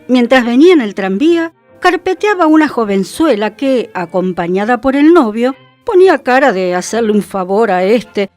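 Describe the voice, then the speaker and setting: Animated, high-pitched Argentine woman reading theatrically. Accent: Argentine, Buenos Aires. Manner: expressive, theatrical storytelling. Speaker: radio host and reader. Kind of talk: dramatic literary reading on radio.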